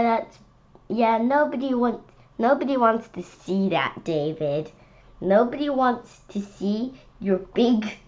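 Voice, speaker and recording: A young, school-age female reader presenting a picture book read-aloud with chatty, expressive commentary. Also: on the high side, muffled recording. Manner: expressive, calls out quoted lines loudly.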